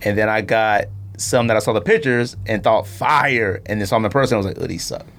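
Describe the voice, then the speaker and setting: A man hosting a podcast in casual chat, rambling and slangy as he tells animated stories in a studio. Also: lowish voice, brisk. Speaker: sneaker podcast host. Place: podcast recording room, in studio.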